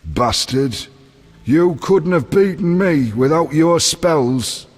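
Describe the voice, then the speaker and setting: An actor voicing a cocky, belligerent brawler in a video game, taunting and jeering. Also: male voice, fairly high pitch, animated, takes it slowly, slight background noise.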